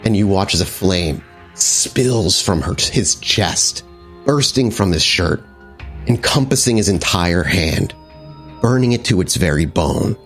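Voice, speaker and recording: A man narrating a tabletop vampire game as its game master, in a dramatic, halting storytelling manner. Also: low-pitched, expressive, fairly bright tone, soft background music.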